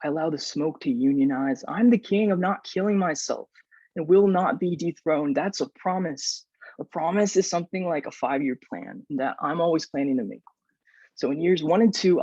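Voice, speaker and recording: A young man reads poems in a slightly high, gravelly voice. The recording is dull and roomy, like a video call. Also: darkish tone. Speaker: poet. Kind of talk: poetry reading.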